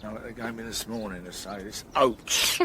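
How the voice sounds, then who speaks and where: An actor playing a gruff, bullying, middle-aged British chief inspector in a radio drama, blunt, impatient and sarcastic. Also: male voice, on the low side, steady tone, normal speed, noisy background, lightly acted. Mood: grumpy.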